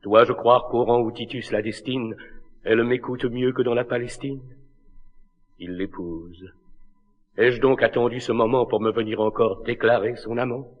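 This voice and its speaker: In a muffled recording with long hall reverb, a male actor plays a lovelorn, noble king of classical tragedy. He declaims solemn verse slowly and with anguish, in a rather low voice. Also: fairly even intonation, natural voice. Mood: anguished, subdued. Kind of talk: classical tragedy stage acting.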